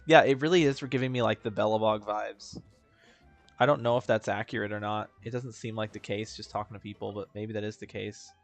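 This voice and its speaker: A man's voice: lowish voice, fairly even intonation, a little fast. A man streaming a video game, chatting casually and reading dialogue aloud with mumbly asides and stumbles. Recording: background game music.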